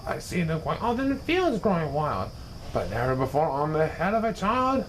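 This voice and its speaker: A learned, self-important school principal as a male storyteller puts him on from a children's storybook. He declaims with wide swings of pitch over faint noise. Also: very animated, moderate pace. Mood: puzzled. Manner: declamatory, pompous.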